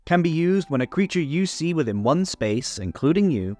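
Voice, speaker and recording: A man's voice: on the high side, sing-song, moderate pace, darkish tone. A man hosting a tabletop game rules series, delivering scripted explainer narration in an instructional way. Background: background music.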